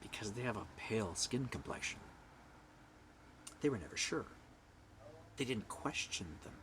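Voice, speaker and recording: A middle-aged man from Canada with a loud voice, ranting bluntly to camera and swinging from hushed whispers to forceful outbursts. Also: fairly low pitch, lively intonation, moderate pace, slight background noise. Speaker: vlogger. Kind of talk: personal rant to camera.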